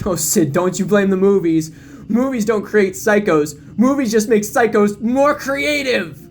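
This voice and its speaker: A man's voice: high voice, unhurried, a little hiss or hum, pitched above the performer's own voice. A man voicing a deranged, furious young male killer in an audio drama, cocky, menacing and given to profane ranting. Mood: cocky, crazed.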